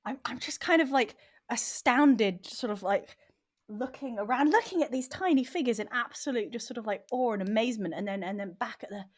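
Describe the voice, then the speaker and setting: A woman's voice: on the high side, brisk. A woman playing a tabletop role-playing game, talking hesitantly with a wondering tone. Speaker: player.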